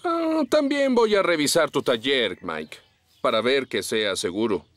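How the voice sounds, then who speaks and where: A man's voice: mid-range voice, sing-song, unhurried, over loud music. A man with a Latin American Spanish accent voicing a friendly, dutiful fireman in a children's cartoon, earnest and instructive. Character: friendly adult male fireman, dutiful and safety-minded.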